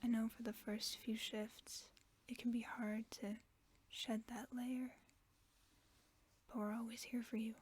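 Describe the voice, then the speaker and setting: A woman voicing a gentle, protective werewolf friend in a whispered sleep-aid audio roleplay, speaking in a soft, soothing whisper close to the microphone. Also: a little high, level tone, measured pace, slightly rough.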